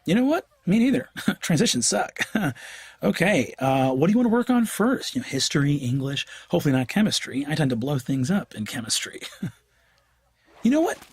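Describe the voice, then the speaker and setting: A man's voice: on the high side, big pitch swings, brisk, slightly rough, dry recording, exaggerated voice. An actor playing an awkward, eager young student tutor in an audio drama, stammering and joking as he rambles. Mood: nervous, upbeat. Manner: hesitant, stammering, jokey rambling. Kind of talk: naturalistic audio drama acting.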